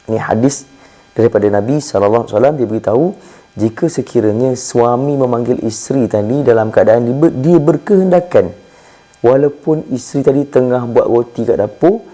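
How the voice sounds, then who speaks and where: A Malaysian man preaches in an informal, conversational way, explaining points like a teacher. His voice is very mellow and dark, at an ordinary male pitch. It is slightly hoarse, as from a cough. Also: a little fast. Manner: conversational and didactic, asking and answering own questions. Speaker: Islamic preacher. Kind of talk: informal religious lecture.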